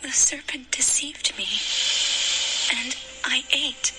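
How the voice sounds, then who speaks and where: A woman playing the innocent, earnest first woman in a dramatized audio scripture, with careful, breathy delivery. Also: sits high, steady tone, slow pace, over loud music, low-quality recording. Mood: distressed confession.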